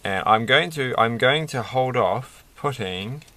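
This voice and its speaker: A middle-aged man with a croaky voice, chatting casually and haltingly through a hobby build, often searching for words. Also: medium pitch, animated, sharp tone. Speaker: Lego build video creator. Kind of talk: casual hobby vlog, unscripted commentary while building. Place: indoors at a desk.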